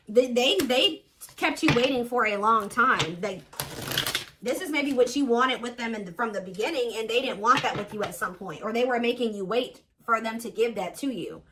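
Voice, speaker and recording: A woman's voice: fairly high pitch, noisy background. A woman giving a casual tarot reading, thinking aloud with lots of fillers and restarts. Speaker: tarot reader.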